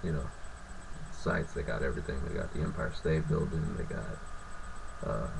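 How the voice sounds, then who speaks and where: A young man from California, sick with a sore throat and raspy, ranting and swearing in a rambling, filler-heavy way. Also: extremely low pitch, moderate pace, background noise. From Fresno, California. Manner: profane, rambling, lots of filler. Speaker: sports fan vlogger. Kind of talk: casual ranting vlog.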